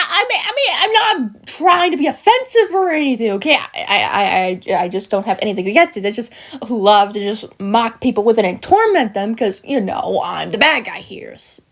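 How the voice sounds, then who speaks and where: A woman voicing a smug, villainous cartoon sheep creature who taunts in a theatrical, mocking manner with drawn-out words and hesitant asides. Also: a little high. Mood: gleefully mocking. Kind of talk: scripted character monologue for a fan voice-over.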